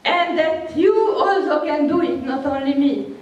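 A woman's voice: high voice, unhurried, dull audio. An older woman with a Hungarian accent, speaking frankly and laughing often as she answers workshop questions. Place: large hall with seated audience. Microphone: handheld microphone, recorded from far off. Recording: echoey hall from far off.

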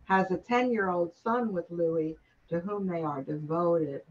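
A woman's voice: on the low side, measured pace. An older woman reading scripted character introductions for an amateur radio play. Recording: dull, lo-fi sound.

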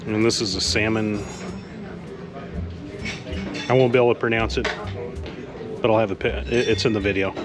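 Brief: fortyish man, lowish voice, easygoing food vlogger, loud music underneath. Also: moderate pace, pauses often. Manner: relaxed, chatty food commentary. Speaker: cruise vlogger. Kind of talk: casual travel vlog. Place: buffet restaurant on a cruise ship. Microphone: handheld camera at arm's length.

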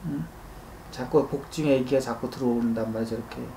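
A man's voice: mid-range voice, fairly even intonation, brisk, dark tone. Blunt male fortune-teller in a roomy space. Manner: blunt, admonishing, often prompting with short questioning grunts. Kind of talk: spoken consultation, informal advice. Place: small indoor consultation room. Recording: roomy echo, faint background noise.

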